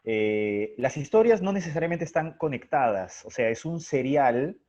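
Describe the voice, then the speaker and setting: A man talks as a theatre director being interviewed. He explains casually and conversationally in a mid-pitched voice. The recording is slightly dull and lo-fi. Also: expressive, moderate pace, darkish tone.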